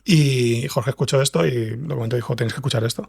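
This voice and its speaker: Man, mid-pitched, husky, gravelly creak, interview guest explaining. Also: fast talker, a little mellow. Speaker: podcast guest, scriptwriter and fiction podcast creator.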